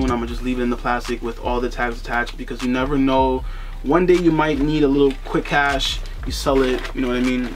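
A young man in a casual unboxing vlog, talking in a relaxed, chatty way with lots of fillers, seated in a small room in front of the camera. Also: medium pitch. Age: young adult. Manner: laid-back, chatty, lots of "you know" fillers. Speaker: sneaker and streetwear vlogger. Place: bedroom with sneaker boxes, indoors.

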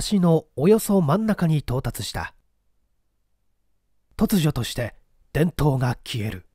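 A man's voice: medium pitch, warm tone, dry recording, studio quality. A man reading a literary story as a dramatic scripted narration. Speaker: audiobook narrator, stage performer.

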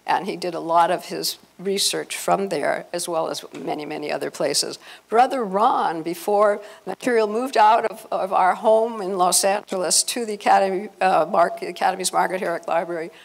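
An older woman presenting an informal family-history slide talk, halting and often restarting her phrases. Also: fairly low pitch, creaky, rough recording. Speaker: presenter, speaker at a convention. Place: hotel conference room, before a seated audience.

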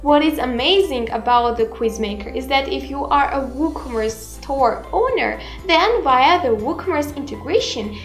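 High-voiced young woman presenting a tutorial. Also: a bit bright. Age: 20s. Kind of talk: scripted software tutorial narration. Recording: roomy echo, music underneath. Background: background music.

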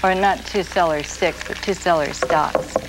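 A woman in her forties hosting a TV cooking show, explaining a recipe in a slow, gentle, soft-spoken way from a studio kitchen set. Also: fairly low pitch. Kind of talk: TV cooking demonstration. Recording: grainy 1980s videotape with noise.

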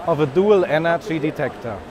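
A man's voice: on the high side, expressive, brisk, dull audio. A man in his thirties giving a walking product demonstration as a business development manager, explaining and pointing as he goes. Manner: explanatory, walks and points while talking. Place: large busy trade fair hall. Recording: noisy trade fair hall.

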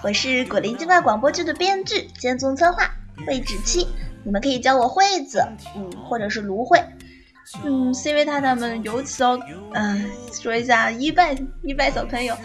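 Girl doing a casual teasing afterword for an audio drama, highish voice, faint music behind. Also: lively intonation, normal speed. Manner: playful, teasing. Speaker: scriptwriter and planner of an audio drama. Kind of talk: casual cast-and-crew afterword.

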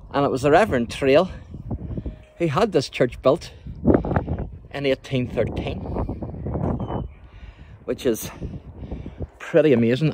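A man with a Northern Irish accent giving a chatty, informal walking tour as a local history guide, speaking outdoors into a handheld phone. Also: mid-range voice. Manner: chatty, addresses the viewer with tag questions. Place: outdoors in a churchyard by the sea. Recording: outdoor phone recording with background noise.

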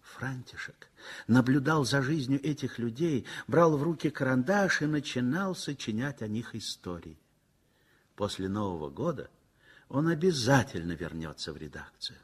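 A man narrating a 1960s radio play in a measured, expressive storytelling manner. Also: mid-range voice, slightly rough. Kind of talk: scripted literary narration, 1960s radio theatre.

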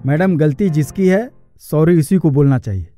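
A man's voice: fairly high pitch, expressive, normal speed, mellow, faint music behind, natural voice. A man voicing an earnest, hardworking young employee in a cartoon story, polite but firm and plain-spoken. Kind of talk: Hindi cartoon story acting.

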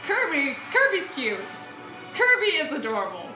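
High-voiced woman chatting over a video game. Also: wildly swooping pitch, normal speed. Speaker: player recording a let's play. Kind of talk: casual gameplay chat with a co-player. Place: indoor room, filming a TV screen. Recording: echoey room with game music. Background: video game music.

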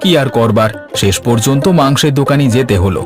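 A man's voice: mid-range voice, brisk, close to an everyday voice. A man voicing a mild, placating village husband and father in a cartoon. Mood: affectionate. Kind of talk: Bengali cartoon voice acting. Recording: clear music behind the voice.

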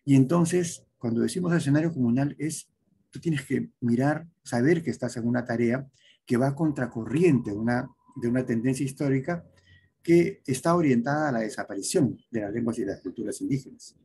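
A middle-aged man, giving an academic talk over a video call, pausing often with fillers and tag questions. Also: medium pitch, vocal fry, dark tone, a little hiss or hum. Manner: lecturing, with frequent hesitations and tag questions. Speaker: conference speaker. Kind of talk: academic presentation. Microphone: webcam on a video call.

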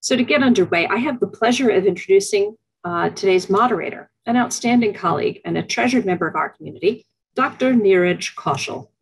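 A woman's voice: medium pitch, expressive, slightly rough, rough recording. A middle-aged woman reading a formal, scripted introduction as dean over a video call. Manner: measured, formal, reads from notes.

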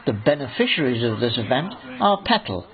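A man's voice: mid-range voice, sing-song, brisk, narrow, tinny audio. A man reading scripted newsreel narration.

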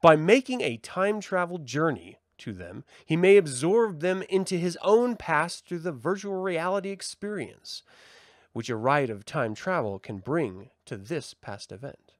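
A man reading a formal essay aloud as a host, with measured, emphatic delivery. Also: on the high side, big pitch swings, no echo, clean studio sound. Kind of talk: expressive scripted essay reading.

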